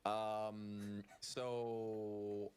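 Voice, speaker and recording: A man's voice: on the low side, level tone, drawn-out words, a little mellow, clean tone. A Danish man speaking English with a near-American accent, a guest answering questions in thoughtful, filler-laced explanations. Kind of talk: casual interview answers.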